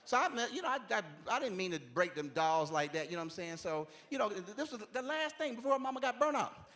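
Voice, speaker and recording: A man's voice: high voice, big pitch swings, quick pace, a bit bright. A man, telling conversational stories and leaning on "you know what I'm saying.". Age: 40s. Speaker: stand-up comedian. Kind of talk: stand-up comedy routine.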